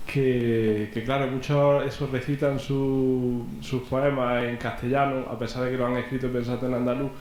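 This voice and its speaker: A man in the audience asking a polite, halting question. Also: mid-range voice, little pitch movement, darkish tone, reverberant, slight background noise.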